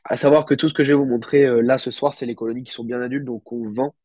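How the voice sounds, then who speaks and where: Un homme légèrement enrhumé qui explique l'élevage de cloportes en direct, sur un ton décontracté, avec beaucoup d'hésitations et de mots cherchés. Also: male voice, mid-range voice, fairly even intonation, fast talker, darkish tone, no echo. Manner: hesitant, many fillers, searches for words. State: slightly congested from a cold. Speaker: guest isopod breeder. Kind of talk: casual livestream explanation.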